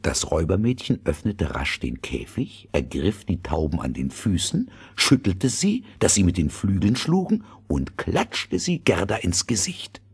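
A man's voice: low voice, very animated, measured pace, hardly any pauses, slightly rough. A man narrating a scripted fairy tale as the storyteller of an audio play.

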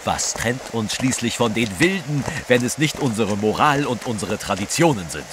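An actor playing a stuffy, proper British reporter in an audio drama, speaking in a fussy, pompous way. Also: male voice, medium pitch, lively intonation, moderate pace, natural voice. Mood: stuffy, indignant. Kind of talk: German radio play acting. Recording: noisy outdoor rain backdrop.

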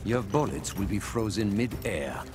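An actor playing a cold, grieving villain scientist in a video game, speaking in a formal, measured, menacing way. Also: male voice, fairly low pitch, expressive. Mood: clinical threat. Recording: loud music under the voice.

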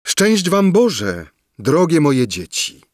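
A man reading a storybook and voicing a kindly old female fairy godmother who speaks warmly and solemnly. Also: medium pitch, sing-song, unhurried, no room sound, clean studio sound, pitched above the performer's own voice. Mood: benevolent. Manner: warm, solemn, lecturing. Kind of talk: audiobook storytelling.

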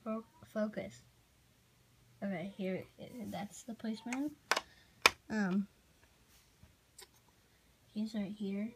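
A child giving a casual, halting show-and-tell narration while filming on a handheld phone in a room at home. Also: mid-range voice, steady tone. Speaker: amateur toy video maker. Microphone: handheld phone behind the camera. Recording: noisy handheld home recording with clicks.

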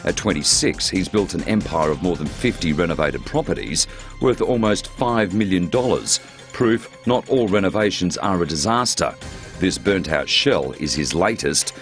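A man's voice: low voice, expressive, moderate pace. A man with an Australian accent reading scripted narration as a television news reporter. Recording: loud music under narration.

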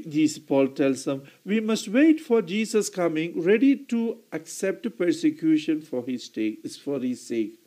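A man preaching a homily in a slow, deliberate way, now and then clearing his throat and correcting himself. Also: high-pitched, a little mellow.

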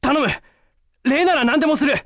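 An actor playing a brash, blunt young male ninja in a video game, with rough, slangy delivery. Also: very high voice, normal speed, fairly bright tone, no echo, clean studio sound. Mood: surprised, eager.

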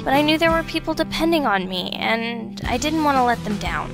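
A woman playing a teasing, dependable teenage schoolgirl in an audio drama, expressive in delivery. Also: high voice, fairly quick, vocal fry, music in the background. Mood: caring.

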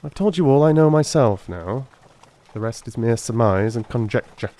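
A man's voice: medium pitch, expressive, normal speed, mellow, natural voice. A man voicing a brilliant, self-assured detective in a dramatised audiobook, explaining with confidence. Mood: animated, assured. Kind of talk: dramatised audiobook character acting.

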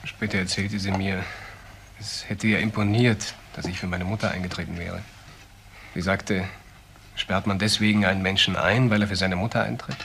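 Low-voiced, earnest young ex-convict. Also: male voice, steady tone, normal speed, creaky, a bit bright, slight background noise. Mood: earnest, defensive. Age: young adult. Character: earnest, defensive young ex-convict recounting his story. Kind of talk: naturalistic German crime radio drama acting.

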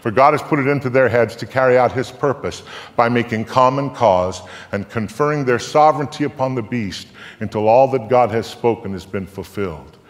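An older man recites scripture from a stage as a piece of dramatic storytelling. His delivery is solemn and emphatic, with deliberate phrasing, in a mid-range voice. A long hall reverb trails his words. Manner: solemn, deliberate, emphatic, swelling from hushed to booming. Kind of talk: live dramatic reading, theatrical storytelling recitation. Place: theatre stage before a live audience.

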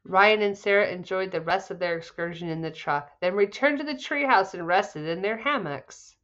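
A woman in her forties reading a children's storybook aloud in an expressive, deliberate way. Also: mid-range voice, lo-fi sound. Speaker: storybook reader. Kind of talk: children's read-aloud, scripted narration.

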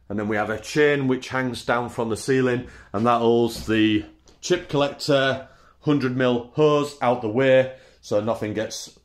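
A relaxed British man in his thirties walks through his small woodworking shop, listing tools in short, easy phrases. His voice sits at an ordinary middle pitch. It is picked up by the camera's own mic in a small indoor room. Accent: British English. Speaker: woodworking channel host. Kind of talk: casual workshop tour.

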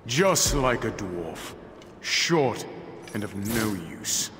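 An actor playing a vain, boastful warrior lord in a video game, with pompous, theatrical taunts. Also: male voice, fairly low pitch, sing-song, drawn-out words, fairly bright tone, exaggerated voice. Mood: mocking. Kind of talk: video game character voice lines. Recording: echoing game audio with background noise.

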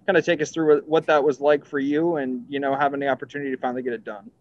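A man's voice: mid-range voice, little pitch movement, rapid-fire. A man, a TV sports reporter, politely asking questions on a video call with many fillers. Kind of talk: press conference questions. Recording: dull lo-fi call audio with hiss.

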